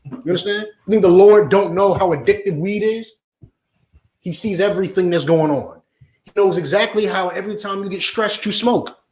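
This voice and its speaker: A man preaching a forceful lesson to a class, often asking if they understand. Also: sits high, moderate pace. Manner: forceful, repeats "you understand?". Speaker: religious teacher. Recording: echoey room.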